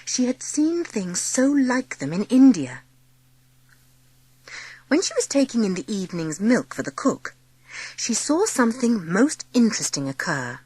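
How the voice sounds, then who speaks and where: Expressive, lively woman narrator, slightly husky. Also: fairly high pitch, measured pace, dry recording. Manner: expressive storytelling. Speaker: audiobook narrator. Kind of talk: dramatised children's story narration.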